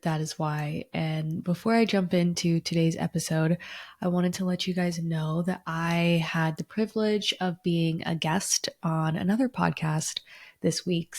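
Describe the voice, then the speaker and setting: A young woman hosts her own podcast in a chatty, earnest manner. Her voice sits low with a gravelly fry, and a lingering cold makes it a touch raspy and nasal. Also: darkish tone. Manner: chatty and earnest, with filler words. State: getting over a cold, a bit raspy and nasal. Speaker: podcast host. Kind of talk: casual solo podcast talk.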